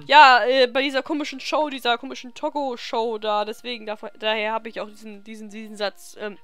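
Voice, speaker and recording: A woman's voice: on the high side, little pitch movement, moderate pace, fairly bright tone, dry recording. A young woman doing casual, playful let's play commentary, bantering in a silly, giggly way. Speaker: let's player. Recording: game music behind the voice. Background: video game music.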